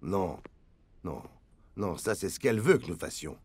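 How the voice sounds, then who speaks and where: Shrewd, animated football coach. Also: male voice, low voice, moderate pace, husky, no room sound, exaggerated voice. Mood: calculating. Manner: authoritative, emphatic. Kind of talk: French cartoon dub.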